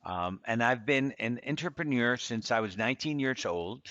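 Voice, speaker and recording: A man's voice: medium pitch, lively intonation, normal speed, fairly bright tone, no echo, muffled recording. A man in his fifties from Costa Rica co-hosting a podcast, talking in an earnest, motivational way and repeating phrases for emphasis. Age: 50s. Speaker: podcast co-host. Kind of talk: casual conversational podcast.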